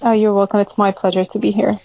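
A woman talks in a friendly, chatty way and laughs easily. Her voice is mellow and warm, of middle pitch. The sound is dry and dull, like lo-fi podcast audio. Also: level tone, quick pace, creaky, no room sound. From Egypt. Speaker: podcast guest, health coach. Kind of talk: casual conversational interview.

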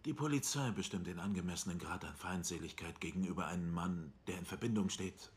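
An actor playing a hostile, officious police detective, formal and deadpan, in a dubbed TV drama. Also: male voice, low-pitched, fairly quick, lightly acted. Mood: cold, condescending.

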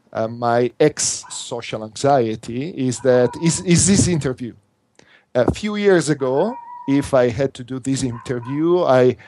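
A man's voice: mid-range voice, darkish tone, slight background noise. A man with an Italian accent, a native Italian speaker, talking earnestly as an interview guest, often restarting and repeating words. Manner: earnest, halting, with frequent restarts and repetitions. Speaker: interview guest, workshop instructor.

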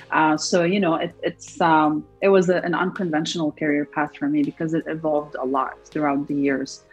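Young woman entrepreneur from Lebanon, low husky voice, earnest and reflective; muffled audio with faint music. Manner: reflective, earnest, repeats words when searching. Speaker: entrepreneur guest. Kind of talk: interview answers.

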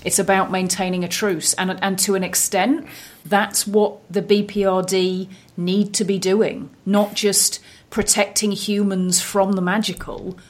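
A woman's voice: mid-range voice, little pitch movement, a little slow. A woman discussing films casually as a podcast panellist, in a thoughtful, analytical manner.

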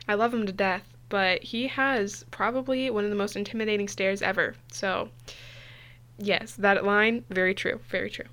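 A woman's voice: mid-range voice, steady tone, normal speed, fairly bright tone. A young woman hosting a podcast, talking casually, chatty and laughing easily, often restarting her phrases. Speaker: podcast host.

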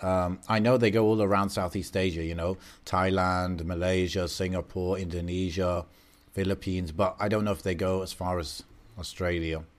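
A man with a low voice chats easily with his co-host on a podcast. He muses aloud and drifts between thoughts, full of "um" and "you know". His delivery stays relaxed and unhurried. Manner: easygoing and musing, with many fillers. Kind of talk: casual chat.

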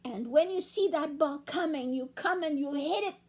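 A woman voicing a kind, encouraging friendly ghost in a told story, coaxing and soft. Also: high-pitched, normal speed, natural voice. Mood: gentle.

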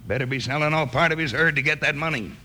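A crooked rancher from a radio western, with a fairly high, forceful voice. He is gruff, threatening and curt in a Western American accent. The old recording has some background noise. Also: fast. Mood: hostile.

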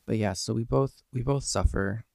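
Young man, nineteen, head cold, a bit nasal, gravelly voice, dry close recording, earnest rambling podcast talk. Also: medium pitch, normal speed, warm tone, dry recording. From Eastern Pennsylvania. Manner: rambling, earnest, many ums and restarts. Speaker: podcast host. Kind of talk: casual solo podcast monologue.

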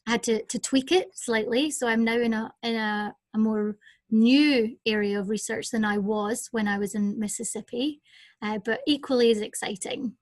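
A woman's voice: a little high, fairly even intonation, moderate pace, no room sound, studio quality. A Scottish woman, a lecturer guest in a podcast interview, recounting at length with some fillers, recorded at home. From Scotland. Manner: fluent storytelling with fillers. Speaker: guest, senior lecturer in anatomy and physiology. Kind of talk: interview answers. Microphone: home setup over digital platform.

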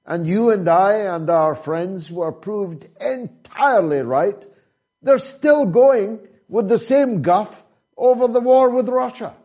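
A man from Britain hosting an interview who talks deliberately and asks rhetorical questions. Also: high-pitched, dark tone. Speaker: interviewer, host. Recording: dull lo-fi sound.

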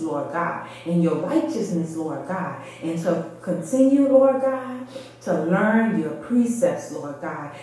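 A woman leads prayer for a congregation in a mellow voice. She speaks in short, fervent phrases full of repeated invocations. The room around her rings with heavy echo. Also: mid-range voice. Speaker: church member leading prayer. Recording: very echoey reverberant room.

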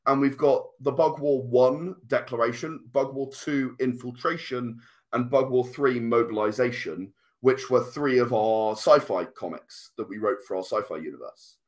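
A man's voice: medium pitch, moderate pace. A man speaking as an interview guest, thoughtfully explaining his creative work at length in conversation. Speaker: comic and game writer, interview guest.

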